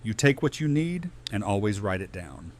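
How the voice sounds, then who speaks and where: A man acts a good-humoured male survivor in an apocalypse audio drama. His voice sits fairly low. He speaks in an easygoing, practical, matter-of-fact way. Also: big pitch swings, normal speed, slight background noise. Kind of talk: audio drama acting, naturalistic.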